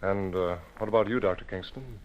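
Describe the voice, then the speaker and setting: A man plays a calm, probing newspaperman hero in an old radio serial. His low voice is measured and skeptical, on a dull, lo-fi recording. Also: slight background noise, close to an everyday voice. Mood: coolly skeptical. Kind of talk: old time radio acting.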